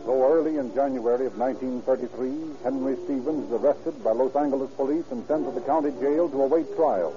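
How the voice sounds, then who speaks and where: Music plays behind a dull, lo-fi vintage radio recording. An actor as a male American narrator tells a crime case in formal, dramatic phrasing at a medium pitch. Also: fast, hardly any pauses, close to an everyday voice. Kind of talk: old-time radio scripted narration. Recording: muffled old radio recording.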